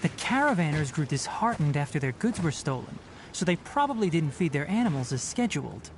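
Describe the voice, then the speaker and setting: A man playing a calm, bluntly dry young forest watcher in a video game, with a measured, scholarly manner. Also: on the high side, a little hiss or hum. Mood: matter-of-fact. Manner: measured, scholarly, matter-of-fact. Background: game music.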